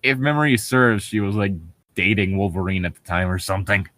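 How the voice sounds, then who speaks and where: A man's voice: low voice, brisk, a bit bright, no room sound. A man casually riffing and reading aloud in a jokey, sarcastic manner. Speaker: host of a creepypasta reading show.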